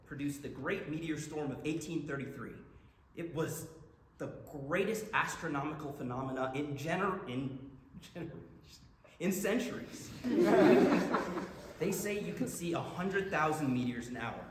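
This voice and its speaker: A man's voice: on the high side, lively intonation, measured pace. A man acting an enthusiastic young astronomy buff in a staged play reading, chatty and explanatory. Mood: wonder. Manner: explanatory, chatty, stumbles on words. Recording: echoey room with background noise.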